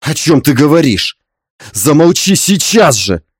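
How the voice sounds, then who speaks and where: Audiobook role: young husband, read by a male narrator, agitated, quick-tempered, exclamatory, lively intonation. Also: a little high, husky, no room sound, clean studio sound, full character voice. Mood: angry. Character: young husband, hot-tempered, devoted to his father.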